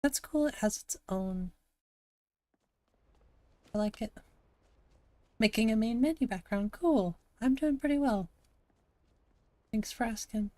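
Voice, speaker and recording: A woman from Montana with a gravelly voice, chatting casually to her live-stream audience while she plays a game, thinking aloud and answering chat. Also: on the high side, animated, slow pace, pauses often, warm tone, dry recording. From Montana, USA. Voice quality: gravelly, slightly raspy.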